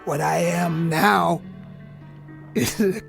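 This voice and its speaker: An actor playing a tormented, bitter young army lieutenant in an intense radio drama style. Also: male voice, on the high side, fairly even intonation, extremely slow, creaky, fairly bright tone, full character voice. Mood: bitter, weary. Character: tormented young army lieutenant who sees death on faces. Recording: radio drama with music underneath.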